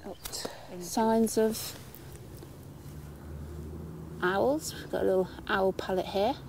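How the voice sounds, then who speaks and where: A woman's voice: mid-range voice, slow pace, gravelly, a little mellow, a little hiss or hum. A woman narrating a casual nature walk vlog outdoors, musing in short phrases full of wonder. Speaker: vlogger. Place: outdoors in woodland.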